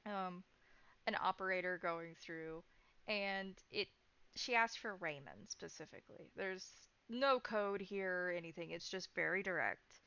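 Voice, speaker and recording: Lady gm describing the scene, forward bright voice w some fry, slightly dull stream audio. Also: medium pitch. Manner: descriptive, explanatory. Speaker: game master. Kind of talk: improvised tabletop game narration.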